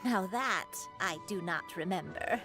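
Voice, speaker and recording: A woman playing a gentle, forgetful old grandmother in a video game, speaking in a wandering, absent-minded way. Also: on the low side, a little slow, music in the background, close to an everyday voice. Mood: musing.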